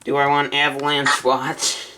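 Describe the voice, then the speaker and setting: A man's voice: mid-range voice, monotone, unhurried, pushed and bright, poor audio. A man casually talking through a gadget setup as a vlogger, thinking aloud as he reads out options and decides. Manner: thinking aloud, reading menu items then deciding. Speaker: vlogger setting up a weather radio. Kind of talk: casual unboxing and setup chat.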